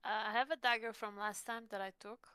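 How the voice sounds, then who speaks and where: A woman's voice: mid-range voice, fairly even intonation, brisk, sharp tone, clean tone, no echo. A woman chatting casually as a player in a tabletop roleplaying game stream. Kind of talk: casual in-character game chat.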